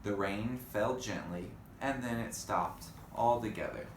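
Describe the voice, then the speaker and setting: A man with a slightly low voice reads a children's story aloud. He takes it slowly, with very varied intonation and chatty asides, in an echoey room. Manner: expressive, addressing young listeners. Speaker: storybook reader. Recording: echoey room, faint background noise.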